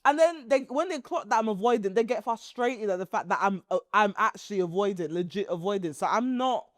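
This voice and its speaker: A woman with a stuffy, blocked-up nose co-hosts a casual podcast. She talks with lively, playful energy and slips into laughter and mock voices. The sound is close and dry. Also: mid-range voice, brisk, dry recording. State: stuffy nose from hay fever. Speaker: podcast co-host. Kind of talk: casual chat.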